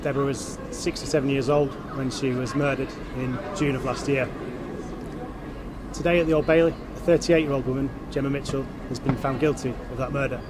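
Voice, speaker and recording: Male detective over clear street noise. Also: mid-range voice, fairly even intonation. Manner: formal, measured. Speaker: police detective. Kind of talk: prepared public statement to press. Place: outdoors in a city. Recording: noisy outdoor city street with traffic. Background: traffic and urban noise.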